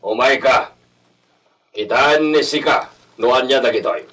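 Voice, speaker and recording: An actor with an Ilocano accent playing a stern, brooding, wealthy master of a household in a radio drama, speaking deliberately and with command. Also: male voice, mid-range voice, a bit bright, husky, poor audio.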